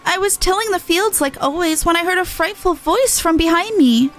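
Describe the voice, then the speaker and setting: A woman's voice: very high-pitched, animated, normal speed, faint music behind, voice pushed up. A woman voicing an anxious young female botanist from a video game, sounding flustered and wary. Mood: wary, shaken. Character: anxious young lady botanist, a farm worker.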